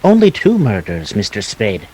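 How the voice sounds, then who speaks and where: An actor playing a polite, precise and slyly menacing criminal schemer in a radio drama, speaking formally and fussily. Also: male voice, lowish voice, wildly swooping pitch, dark tone, breathy edge, exaggerated voice, low-quality recording. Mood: coolly polite. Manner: formal, fussy, measured. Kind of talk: 1940s radio drama acting.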